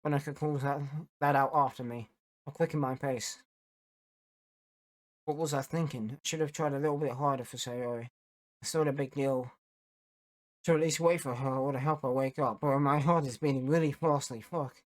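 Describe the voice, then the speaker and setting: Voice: medium pitch, steady tone, moderate pace. A gamer doing let's play commentary and reading game text aloud, in a casual way with plenty of swearing. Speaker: gaming YouTuber. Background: video game music.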